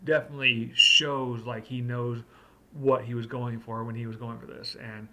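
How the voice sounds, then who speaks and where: A man co-hosts a laid-back movie review chat at a middle pitch. His voice is very bright and sharp-edged. He wanders through his points with plenty of fillers. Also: little pitch movement, moderate pace. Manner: rambling, heavy on like and uh. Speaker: co-host. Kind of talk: casual chat.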